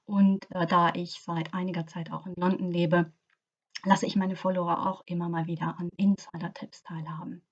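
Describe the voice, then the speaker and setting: A woman's voice: fairly low pitch, little pitch movement, normal speed, breathy edge, muffled recording. A woman hosting a book podcast, reading her introduction with many hesitation fillers. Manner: reads with frequent hesitation fillers. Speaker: host, culture and travel journalist.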